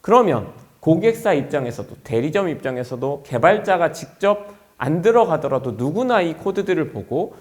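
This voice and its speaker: A man delivers a product presentation in the manner of a company executive at a forum. His voice sits fairly high for a man and moves with very lively intonation. He explains things clearly, point by point, for a business audience.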